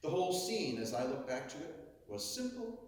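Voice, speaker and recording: A man giving a declamatory reading of a historic oration, with booming, dramatic swells and drawn-out stresses. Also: big pitch swings. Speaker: orator reading a historic speech. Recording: echoey hall, heard from far off.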